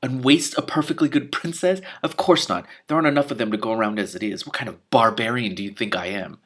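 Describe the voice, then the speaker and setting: A man's voice: medium pitch, fast, fairly bright tone, lightly acted. Man doing a naive, fussy young dragon. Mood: indignant. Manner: blunt, fussy, childlike. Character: very young dragon, naive, earnest, easily offended.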